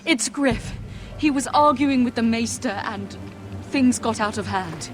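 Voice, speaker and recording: A woman's voice: on the high side, unhurried, soft background music, close to an everyday voice. A woman playing a proud, defiant young noblewoman in a fantasy video game, speaking urgently and outspokenly. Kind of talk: dramatic video game acting.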